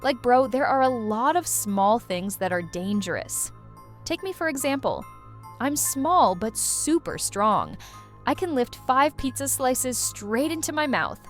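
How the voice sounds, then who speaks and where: Woman, high lively voice, playful chatty host, casual commentary, light music behind. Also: unhurried. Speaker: host of a reaction video channel. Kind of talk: casual scripted commentary for young viewers.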